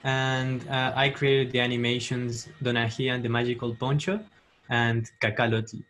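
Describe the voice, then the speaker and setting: A man's voice: mid-range voice, level tone, normal speed, fairly bright tone. A man from Mexico, an animator who talks earnestly over a video call, leaning on fillers. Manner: earnest, hesitant with many fillers.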